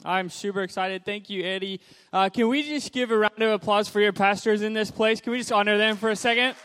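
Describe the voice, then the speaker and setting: A young man from Zimbabwe speaks as a missionary guest in a church, upbeat and brisk. His voice sits fairly high with a flat, steady contour. The sound is smooth and close, with little room echo. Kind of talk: personal testimony in a church service.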